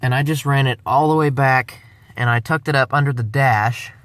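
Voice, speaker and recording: A man's voice: medium pitch, moderate pace, a bit bright, no echo. A man narrating a casual how-to video, explaining each step in a plain-spoken, informal way. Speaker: vlogger presenting a DIY tutorial.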